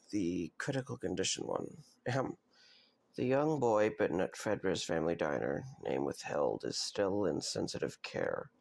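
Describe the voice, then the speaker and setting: A man playing a tired, wry, kindly investigator in an audio drama, with a gravelly voice and a casual, dry manner. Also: low-pitched, full character voice. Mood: sarcastic, then reading aloud, grave.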